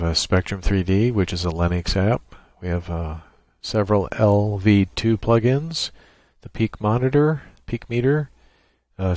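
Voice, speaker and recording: A man presenting an unscripted screen-share software tutorial in a halting, thinking-aloud way. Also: deep voice, no echo.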